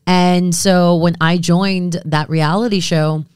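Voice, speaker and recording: A woman's voice: on the low side, fairly even intonation, slow, a little mellow, clean tone, no echo, studio quality. A young woman from Miami co-hosting a casual chat, speaking candidly and slipping between English and Spanish. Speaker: podcast co-host.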